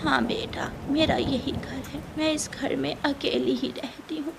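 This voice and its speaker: A woman voicing a gentle, frail old mother bird in a children's cartoon, speaking softly. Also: sits high, fairly even intonation, unhurried, vocal fry, heavy background noise. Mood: grateful. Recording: loud rain and thunder behind.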